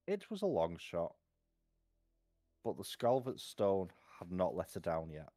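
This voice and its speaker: A man with a low voice reads a fantasy novel aloud for a live stream. He takes his time over the sentences, occasionally tripping on a word and putting it right. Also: big pitch swings, warm tone. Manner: unhurried narration with occasional stumbles and self-corrections.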